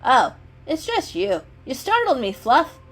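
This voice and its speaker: A cheeky, confident young male house cat, voiced by a woman, talks in a breezy, casual storybook style. The voice is highish and very animated, with faint music underneath. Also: measured pace, husky, full character voice. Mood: startled, relieved. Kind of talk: dramatic children's story reading.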